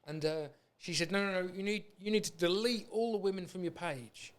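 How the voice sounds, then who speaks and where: A man's voice: high voice, brisk. A British man hosting a podcast in a casual, rambling, anecdotal way. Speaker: podcast host. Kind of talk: casual chat.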